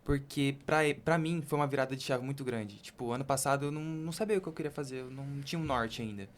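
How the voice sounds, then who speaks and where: A man's voice: mid-range voice, quick pace, a little hiss or hum. A young Brazilian man chatting casually and candidly as a podcast co-host, in colloquial speech. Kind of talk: casual personal conversation.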